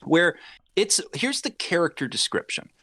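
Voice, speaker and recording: A man in his thirties talks as a guest on a casual podcast. His voice sits a little high and moves around a lot in pitch. He is emphatic and informal, in a dry recording. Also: normal speed, slightly rough, dry recording. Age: 30s. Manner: animated, emphatic, profane. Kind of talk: casual chat.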